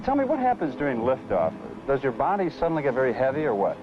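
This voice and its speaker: A man's voice: mid-range voice, huge pitch swings, fast talker, music in the background. A man playing an eager, modest trainee salesman in a training film, inquisitive and deferential. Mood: interested. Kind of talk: 1970 training film acting. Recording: muffled, hissy 16mm film soundtrack.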